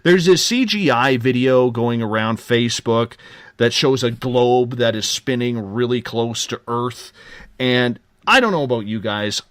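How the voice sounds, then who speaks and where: A man's voice: mid-range voice, measured pace, a bit bright, no echo, clean studio sound. A man hosting a live late-night radio talk show, giving upbeat, joking promotional patter. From Canada.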